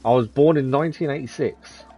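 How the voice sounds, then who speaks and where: A man's voice: mid-range voice, fairly quick, warm tone, husky, faint music behind. Chatty man in his thirties streaming. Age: 30s. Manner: chatty, muttering at enemies, answering chat. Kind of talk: live gameplay commentary.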